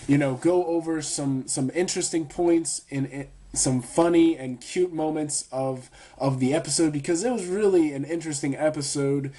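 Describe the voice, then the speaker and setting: A man's voice: on the high side, warm tone. A man reviewing an anime episode in a casual video, talking with many fillers and restarts while a bit sick.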